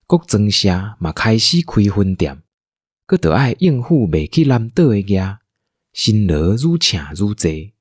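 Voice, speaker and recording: A man with a Taiwanese accent narrating a scripted story as an audio drama narrator, in a husky voice. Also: on the low side, sing-song, measured pace, a little mellow, dry recording, studio quality.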